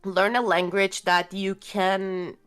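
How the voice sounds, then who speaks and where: A young woman with a Turkish accent talks casually as an interview guest. Her pitch sits in a middle range, and she rambles, stopping often to search for the right word with lots of fillers. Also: flat delivery, slow, fairly bright tone, clean tone, faint music behind. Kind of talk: casual video-call chat.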